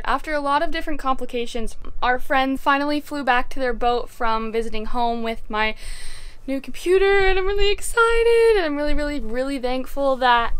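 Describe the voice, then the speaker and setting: A woman's voice: fairly high pitch, fairly bright tone, clear, resonant tone, no echo. A teenage girl chatting to a handheld camera in a casual, bubbly vlog, often saying "oh my gosh", filmed aboard a sailboat and on a sunny beach. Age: teens. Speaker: vlogger. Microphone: handheld action camera at arm's length.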